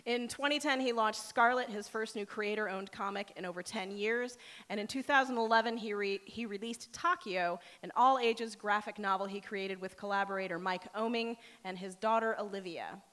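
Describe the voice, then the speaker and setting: A woman works as a live radio host, presenting and interviewing from a stage. Her voice sits at a middle pitch and carries the long reverb of a hall. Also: moderate pace, fairly bright tone.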